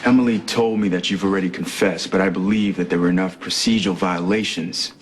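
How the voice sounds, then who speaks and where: An actor playing a composed defense attorney, speaking in a measured, formal way. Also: male voice, sits low, fairly even intonation, slight background noise.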